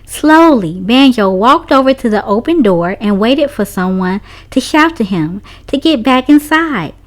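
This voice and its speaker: A woman narrates a children's storybook in a fairly high voice. Her pitch moves up and down in a very lively way, and her delivery is expressive, shaped for young listeners. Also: a little slow. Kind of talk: read-aloud story narration.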